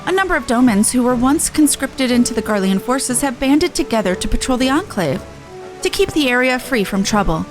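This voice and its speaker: A woman's voice: on the high side, expressive, a little fast, over music, natural voice. A woman playing a dignified, gracious leader in a video game, speaking formally and with composure. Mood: solemn, dutiful.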